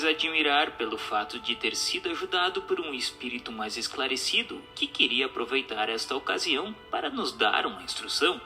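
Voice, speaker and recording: An actor in a radio drama playing a formal, inquisitive séance questioner and narrator who speaks in a measured, scripted way. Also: male voice, fairly high pitch, bright, forceful tone, exaggerated voice. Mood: explanatory. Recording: music under the voice.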